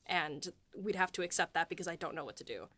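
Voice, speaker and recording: A woman co-hosting a podcast, chatting casually and laughing, with casual swearing and hushed retellings of scenes. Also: on the low side, animated, quick pace. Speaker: writer and podcast co-host. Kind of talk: casual behind-the-scenes chat.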